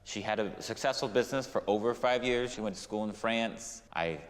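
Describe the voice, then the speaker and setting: Low-voiced man in his thirties. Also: brisk, roomy sound, big-room sound. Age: 30s. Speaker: utility worker on a reality panel. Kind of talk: talking on a filmed panel video.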